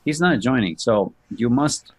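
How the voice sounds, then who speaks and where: A man giving a casual software walkthrough to others on a video call. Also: medium pitch, animated, unhurried. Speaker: participant demonstrating software.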